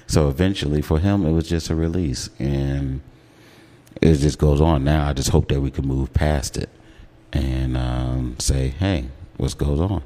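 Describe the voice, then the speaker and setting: A man with an American accent and a deep voice, hosting a casual, opinionated radio talk show and taking care to enunciate. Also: fairly even intonation, a little slow, dark tone. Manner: enunciates words carefully.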